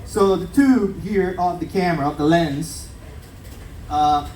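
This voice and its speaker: A man whose first language is Portuguese, bluffing a definition in a flustered, halting way on a stage game show, laughing at himself as he goes. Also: sits high, darkish tone, slight background noise. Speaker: cruise staff contestant. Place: large ship theater with live audience. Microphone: handheld mic held by someone else. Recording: echoey theater picked up from far off. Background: audience laughter and chatter.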